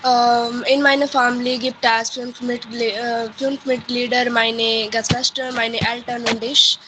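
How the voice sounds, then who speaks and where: A woman's voice: on the high side, little pitch movement, measured pace. Hesitant young Indian woman, noisy line. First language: Hindi. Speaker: language student. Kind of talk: halting self-introduction in class. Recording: noisy online call with background ambience.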